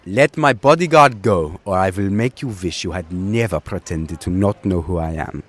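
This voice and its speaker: A man's voice: low voice, very animated, takes it slowly, slightly rough, exaggerated voice. A man playing a cheeky, theatrical young German showman in an audio drama, with a German accent. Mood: indignant, pompous. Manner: theatrical, self-important, playful.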